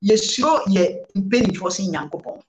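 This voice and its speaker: A Ghanaian woman reverend speaks with earnest conviction, moving between English and Twi. Her pitch rises and falls in lively swings as she repeats phrases for weight. The studio recording carries a noticeable roomy echo. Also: normal speed. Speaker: reverend guest. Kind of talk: preaching interview answers. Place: studio set, indoors.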